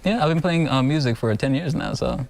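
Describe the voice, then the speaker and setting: Casting: young male musician from Zambia, soft-spoken and casual, mid-pitched, halting fillers, TV studio guest. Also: expressive, vocal fry. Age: 20s. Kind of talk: casual interview answers.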